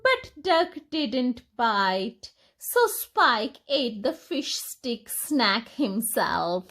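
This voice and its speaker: A woman reading a picture book aloud to young children in an expressive, deliberate, sing-song storytelling manner. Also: a little high.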